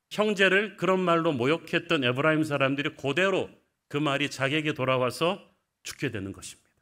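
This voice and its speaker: A man's voice: on the high side, bright, forceful tone. Man preaching a vivid story sermon. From Gyeongsang, South Korea. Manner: storytelling, vivid retelling of scripture. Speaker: pastor.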